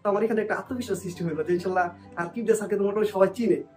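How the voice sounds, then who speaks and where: Young bangladeshi guy, kinda high voice, keeps searching for words, chatting, light music behind. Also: rapid-fire, darkish tone. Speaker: guest. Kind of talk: conversational personal account.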